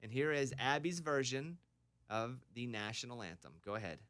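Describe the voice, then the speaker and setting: A man's voice: mid-range voice, lively intonation, normal speed, fairly bright tone, smooth. A man hosting a morning radio show from the studio, teasing his cohosts and steering the banter. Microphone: studio microphone.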